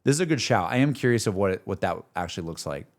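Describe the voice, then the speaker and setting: A man's voice: lowish voice, expressive, very fast. A man streaming casual, slangy, exclamatory reactions to a game as he talks to his viewers.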